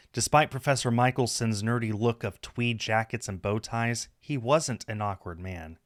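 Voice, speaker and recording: A man's voice: fairly low pitch, no echo, clean studio sound. A man reading story narration aloud from a script. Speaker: streamer reading a visual novel aloud.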